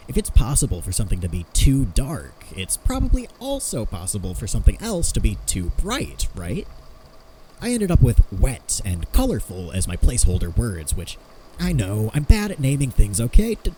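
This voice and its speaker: A man's voice: on the low side, wildly swooping pitch, normal speed, dark tone, slight background noise, rough recording. A man playing an eager, self-deprecating amateur inventor who rambles through explanations in a conversational fan audio drama. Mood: reflective, fascinated, then sheepish, earnest. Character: eager amateur inventor explaining his homemade theory of strange phenomena.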